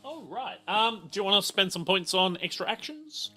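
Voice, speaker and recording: A man refereeing a casual tabletop game, halting and often repeating himself. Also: high voice, lively intonation, sharp tone, faint music behind. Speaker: game master.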